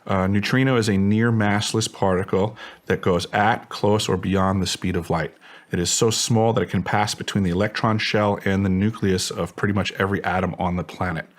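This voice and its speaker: A man's voice: low-pitched, steady tone, moderate pace. A man speaking as an interview guest, giving assertive testimony in formal, technical wording. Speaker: interview guest, former contractor.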